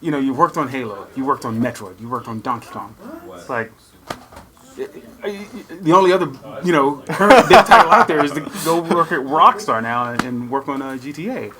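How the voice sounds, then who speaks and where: A man's voice: medium pitch, brisk. A man hosting a casual interview in an informal, chummy way. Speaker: show host, interviewer. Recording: crowd chatter around the voice. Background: crowd chatter.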